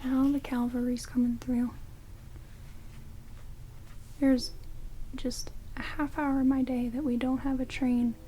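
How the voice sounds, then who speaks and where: A woman playing a sardonic, grumbling vampire in a soft, half-whispered ASMR roleplay, muttering dryly close to the microphone. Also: sits high, level tone, normal speed, a little hiss or hum, close to an everyday voice, low-quality recording. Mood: grumbling, dismissive. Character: sardonic, grumbling female vampire grudgingly tending an intruder. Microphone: close binaural microphones. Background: heavy rain.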